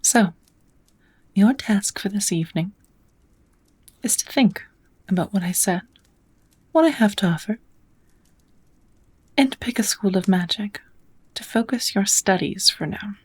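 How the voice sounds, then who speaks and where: A woman voicing a kind, knowledgeable witch who gently teaches magic in a measured, whispered ASMR roleplay. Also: mid-range voice. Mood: kind, patient. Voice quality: soft, breathy whispering.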